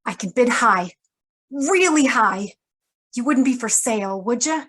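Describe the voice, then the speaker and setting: A shrewd woman of secrets from a hard-boiled radio drama played by an actress. Her voice is a little high. She delivers her lines in a measured, dramatic, knowing manner. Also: expressive, fairly bright tone, breathy edge, full character voice. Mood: resigned, bargaining. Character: shrewd, wry woman publisher hiding a killer's past. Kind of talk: noir radio drama acting.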